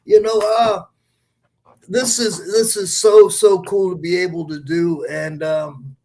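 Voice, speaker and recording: A man's voice: sits high, steady tone, takes it slowly, a little mellow, poor audio. A man hosting a casual live podcast, with a showy, announcer-like way of talking. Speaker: podcast host.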